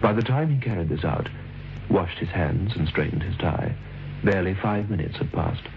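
A man narrating a scripted radio story in a measured, formal, dryly understated way. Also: low-pitched, gravelly, noisy background. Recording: dull old radio recording. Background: music.

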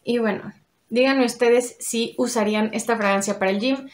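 A woman's voice: on the high side, moderate pace. A young woman, chatting casually and expressively as a beauty vlogger reviewing products from her bedroom. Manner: chatty, expressive, uses diminutives. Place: small bedroom with vanity mirrors, indoors.

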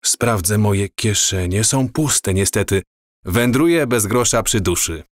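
A man acts out a dreamy wanderer in a radio play, describing things with quiet wonder. His voice is fairly low and very unhurried, in a dry recording. The delivery is wistful and measured. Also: expressive, dry recording. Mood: dreamy, absorbed. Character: dreamy man wandering awestruck through prewar Warsaw. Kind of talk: audio drama, descriptive monologue.

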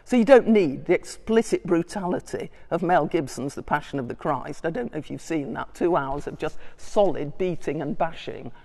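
Older female lecturer, measured and wry, distant mic, long church reverb. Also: low voice, lively intonation, vocal fry, a little mellow. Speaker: guest lecturer. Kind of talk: lecture read from notes. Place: large church, indoors. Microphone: far camera.

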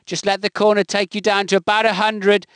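A man's voice: high-pitched, completely flat delivery, brisk, fairly bright tone, smooth, dry recording. A man delivering scripted motor racing commentary for a video game in clipped, emphatic phrases. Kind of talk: scripted race commentary voice clips.

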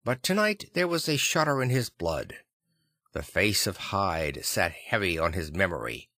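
A man reading classic fiction as an expressive audiobook narrator. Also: deep voice, a little slow, dry recording, clean studio sound. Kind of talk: expressive scripted literary narration.

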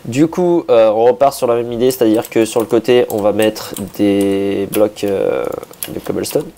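A man with a hoarse voice, a bit sick, casually talking through a building project, thinking aloud with many hesitations and restarts. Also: fairly low pitch, normal speed, dark tone. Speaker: Minecraft builder.

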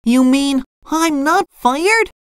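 A storybook sea sponge boy acted out by a woman, pitched far up and squeaky. He is eager and timid, swinging dramatically through every line in a dry recording. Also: lively intonation, slow, no echo. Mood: puzzled, timid. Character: excitable, timid, tearful young male sea sponge fry cook. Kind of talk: children's storybook character voice.

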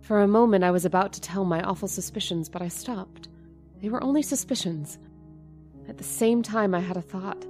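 A woman's voice: lowish voice, a little mellow, lightly acted. An actress playing a frightened middle-aged landlady and mother who narrates her suspicions and stammers when flustered, in a radio drama. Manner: nervous, stammering.

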